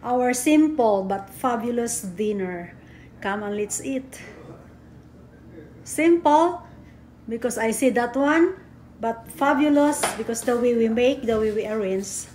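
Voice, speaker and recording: A woman's voice: fairly high pitch, slow, darkish tone. A woman in her forties from the Philippines with a Filipino accent, chatting casually in a cooking vlog as she explains each step. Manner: chatty, explaining steps in simple English. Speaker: vlogger. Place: home kitchen and backyard grill. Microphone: phone camera held close. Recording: noisy phone recording with sizzling. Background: frying and sizzling.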